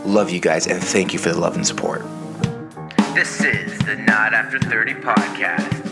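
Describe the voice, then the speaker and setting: Hoarse raspy man hosting a podcast. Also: low-pitched. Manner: animated, laughs and jokes, mimics others. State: hoarse from the flu. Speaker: podcast host. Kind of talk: casual podcast chat over beer.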